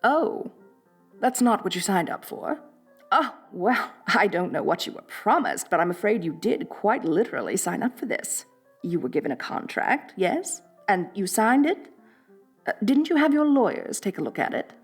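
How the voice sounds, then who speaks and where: A woman's voice: medium pitch, very animated, moderate pace, husky, faint music behind. A woman playing a rich, bossy lamia mistress in a roleplay audio drama, haughty and condescending with affected elegance. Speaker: wealthy mistress addressing a new servant.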